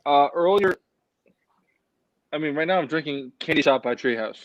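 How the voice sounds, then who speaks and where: A man's voice: fairly high pitch, moderate pace, no echo, lo-fi sound. A man on a video call chatting casually over beers, blunt and crude with a sardonic edge.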